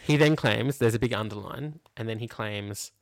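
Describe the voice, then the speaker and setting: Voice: medium pitch, normal speed, dry recording. An Australian podcast co-host chatting casually and comedically, reading aloud with mocking, incredulous commentary. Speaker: junior primary school teacher.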